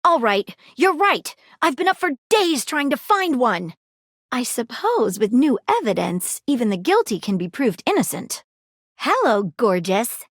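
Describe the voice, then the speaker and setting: Flirty magnifying glass detective, highish voice that jumps all over, dry close mic. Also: female voice, sing-song, a little slow, no room sound, full character voice. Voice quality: playful, dramatic, flirtatious. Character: sleuthing female magnifying glass, flirty and nosy. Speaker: dateable game character. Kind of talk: video game voice lines. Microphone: close studio mic.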